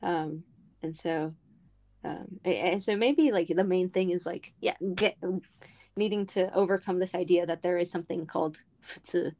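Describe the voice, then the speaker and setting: A woman's voice: lowish voice, moderate pace, warm tone. A young woman and co-host talking earnestly and at length in a casual chat, with frequent "um" and "like". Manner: earnest, talkative, many fillers.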